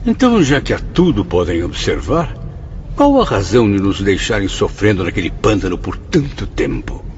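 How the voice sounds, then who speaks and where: A man's voice: on the low side, very animated, normal speed, a little mellow, a little hiss or hum. A man with a Brazilian Portuguese accent plays a troubled male spirit who asks earnest, somber questions in a radio drama. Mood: questioning. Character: troubled adult male spirit who took his own life, questioning. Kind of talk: radio drama narration and acting. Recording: dull sound with music behind.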